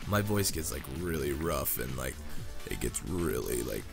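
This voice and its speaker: A man's voice: very deep voice, very animated, unhurried, gravelly. A young man with a head cold, his voice nasal and scratchy, chatting casually while playing a game. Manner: rambling, chatty, many fillers like "um" and "like". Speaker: Minecraft YouTuber. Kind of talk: casual commentary over gameplay. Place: home room, recording near a sleeping girlfriend. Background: background music.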